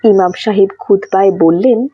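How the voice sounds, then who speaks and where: A woman's voice: medium pitch, normal speed, breathy edge, lo-fi sound. A woman narrating a scripted moral story for children as a storyteller.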